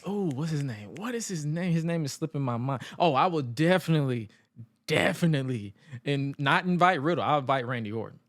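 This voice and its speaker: A man's voice: on the high side, animated, brisk, dry recording, studio quality. A man in his late twenties co-hosting a podcast, chatting casually and joking bluntly with slangy asides. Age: 20s. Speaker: podcast co-host. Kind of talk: casual chat.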